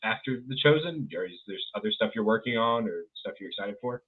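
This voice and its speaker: A man's voice: fairly low pitch, lively intonation, fairly quick, husky. A young man hosting an interview, asking casual questions with a few stammered starts, wearing a headset. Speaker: podcast host. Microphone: headset microphone. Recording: tinny phone-like call audio.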